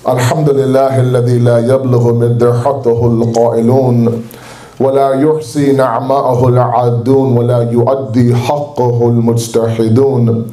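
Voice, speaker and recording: A man's voice: medium pitch, dark tone. Man with a Canadian accent, echoey hall. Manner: earnest, exhorting. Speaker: speaker at a religious gathering. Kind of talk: sermon. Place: large hall at a community center.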